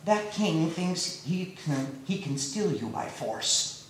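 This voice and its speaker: A storyteller voicing a calm, gentle old male sage who speaks softly and steadily, in a very echoey room. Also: mid-range voice, takes it slowly, slight background noise, voice pushed down. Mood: calm, firm.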